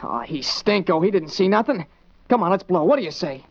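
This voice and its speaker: Very high scrappy street kid. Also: male voice, expressive, fairly quick. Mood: defiant. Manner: slangy. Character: scrappy streetwise boy, eager, defiant. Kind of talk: 1940s radio acting. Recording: dull 1940s radio recording.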